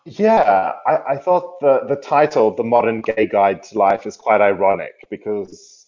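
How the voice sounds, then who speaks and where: An Australian man in his thirties, answering interview questions in an articulate, measured way over a remote call. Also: fairly low pitch, a little mellow, muffled recording. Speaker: podcast guest. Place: at home in London.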